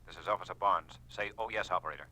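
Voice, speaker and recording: An actor playing an urgent police officer on the telephone in a 1940s radio drama, giving terse instructions. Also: male voice, sits low, fast, bright, forceful tone, no echo, exaggerated voice, rough recording. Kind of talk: 1940s radio suspense acting.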